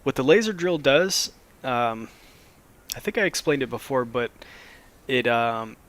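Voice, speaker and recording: A man, a little hoarse after a cold, casually explaining a modded game as he plays, with plenty of ums and restarts. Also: medium pitch, measured pace. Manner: relaxed, rambling, lots of fillers. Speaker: Minecraft let's play host.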